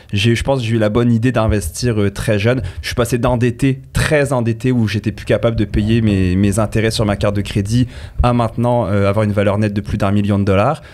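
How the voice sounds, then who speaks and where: A man's voice: lowish voice, a little mellow. A man in his thirties with a French accent, a guest chatting casually on a podcast. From France. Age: 30s. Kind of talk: casual chat.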